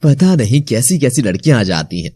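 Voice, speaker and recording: A performer voicing a gruff, grumbling male village wrestler in a cartoon, full of scorn. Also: medium pitch, very animated, brisk, dark tone, no echo, pitched below the performer's own voice. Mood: scornful.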